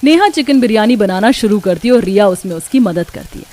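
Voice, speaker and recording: A woman's voice: mid-range voice, expressive, fairly quick, a little mellow, faint music behind. A woman reading scripted storytelling narration for a cartoon.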